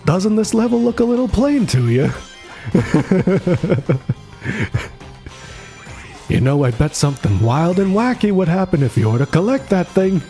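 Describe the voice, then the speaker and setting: A man's voice: high voice, big pitch swings, a little slow, pauses often, mellow. A man giving casual, jokey livestream commentary on a video game and chuckling often. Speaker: streamer. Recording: game music behind the voice.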